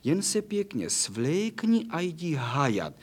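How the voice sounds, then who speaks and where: A man voicing a kind little angel who speaks gently and reassuringly. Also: on the high side, sing-song. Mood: soothing.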